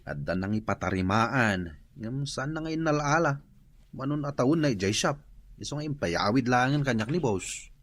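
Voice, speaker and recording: A man's voice: mid-range voice, expressive, moderate pace, fairly bright tone. A man acting an easygoing village husband in an Ilocano radio comedy drama, with an Ilocano accent. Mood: matter-of-fact.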